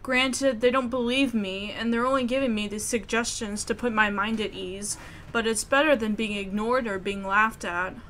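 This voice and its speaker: A woman's voice: on the high side, steady tone, moderate pace, fairly bright tone, a little hiss or hum. A woman reading a visual novel aloud as a gamer, mixing narration with sassy, casual commentary.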